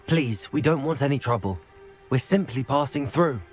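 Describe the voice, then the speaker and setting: Fantasy game hero, male actor: young wizard, animated delivery, earnest, curious, polite, music behind. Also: mid-range voice, moderate pace, natural voice. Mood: conciliatory. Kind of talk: video game cinematic acting.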